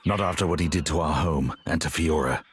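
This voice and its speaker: A man voicing a grave, honourable, regretful warrior in a video game, earnest and measured. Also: a bass voice, faint music behind. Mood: apologetic, subdued. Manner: earnest, measured, formal, brooding. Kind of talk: dramatic video game voice acting.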